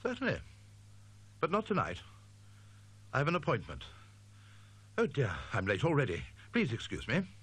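A male narrator voicing an excitable young male scholar who talks in a nervous, stammering, evasive way. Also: medium pitch, normal speed, long pauses, breathy edge, voice pushed up, full character voice. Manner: stammering, jumpy, defensive.

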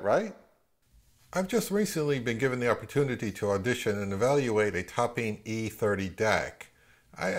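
Man, middle-aged, American accent, medium pitch, casual explanatory review style, conversational delivery. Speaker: audio gear reviewer and channel host.

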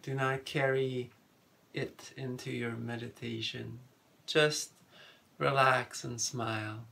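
A man guiding a meditation in soothing phrases with long pauses between them. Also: medium pitch, steady tone, gravelly, sharp tone. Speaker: meditation teacher. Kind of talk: guided meditation.

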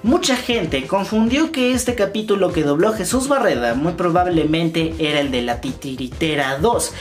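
A man's voice: on the high side, lively intonation, moderate pace. A man, hosting a video of fan news and theory commentary, talking to camera in a small room. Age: 20s. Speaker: YouTube host. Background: music.

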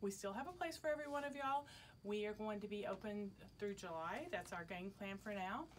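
A middle-aged woman speaks casually to her customers as a shop owner. Her pitch is medium, and she is recorded indoors from a short distance with light noise behind her. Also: brisk. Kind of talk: casual video announcement to customers. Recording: background noise, camera from a distance.

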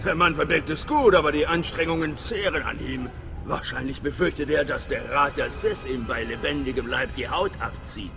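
Gravelly, effusive station chief. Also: male voice, on the high side, normal speed. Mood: confidential. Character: big, talkative, self-satisfied imperial station chief. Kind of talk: dramatic sci-fi game dialogue. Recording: long reverberant hall, music behind.

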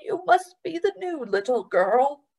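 A woman reading aloud, voicing a gentle, courteous old blind man who speaks softly and hesitantly. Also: high voice, very animated, a little slow, creaky, voice pushed up, heavily acted.

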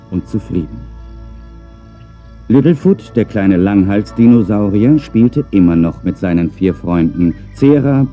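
Low-voiced man narrating a children's audio play. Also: highs cut off.

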